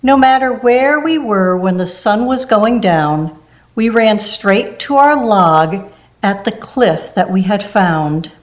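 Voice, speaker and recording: A woman reading a rhyming children's picture book aloud, gentle and unhurried, with small asides between the pages. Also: mid-range voice, lively intonation. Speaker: storybook reader.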